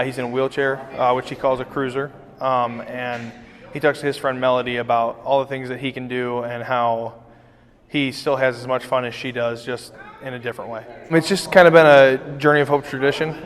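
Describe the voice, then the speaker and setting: Young man, flat even delivery, mid pitch, unscripted interview, reverberant hall. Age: young adult. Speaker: charity cycling team member.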